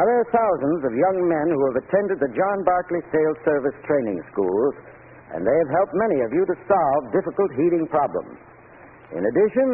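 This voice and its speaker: A hissy, narrow old radio recording of a man with a fairly high voice. He delivers a scripted 1940s sponsor message formally and instructively, with lively intonation. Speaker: sponsor's heating expert giving a commercial.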